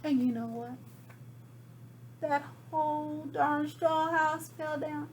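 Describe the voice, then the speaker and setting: Unhurried, high-voiced folksy wolf read by a woman. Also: fairly even intonation, a little hiss or hum. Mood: sly, matter-of-fact. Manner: chatty, dramatic, feigned innocence. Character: folksy, self-excusing wolf telling his side. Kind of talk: storybook read aloud to children.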